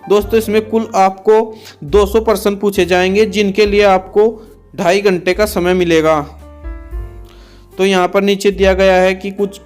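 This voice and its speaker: A man giving a methodical informational explanation, restating points so they are clear. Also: high-pitched, flat delivery, moderate pace, darkish tone, faint music behind. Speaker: exam and recruitment explainer, host.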